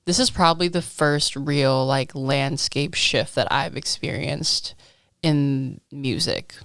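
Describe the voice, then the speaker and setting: A woman of twenty-five, a guest musician, musing reflectively in a casual podcast chat, trailing off in thought, on a studio boom mic. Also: slow pace, no echo. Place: small decorated podcast studio. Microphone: studio boom mic with headphones.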